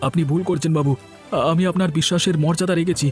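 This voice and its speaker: A man's voice: on the high side, steady tone, fast talker, darkish tone, natural voice. A man voicing an honest, humble shop worker in a cartoon, earnest and aggrieved as if wrongly accused. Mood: pleading, hurt. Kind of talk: animated cartoon dialogue. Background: music.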